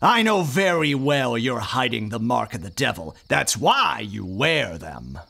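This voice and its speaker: A man playing a fanatical, self-righteous reverend who leads witch hunters, preaching slowly and menacingly in an audio drama. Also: mid-range voice, big pitch swings, vocal fry, sharp tone, full character voice. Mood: menacing, accusing.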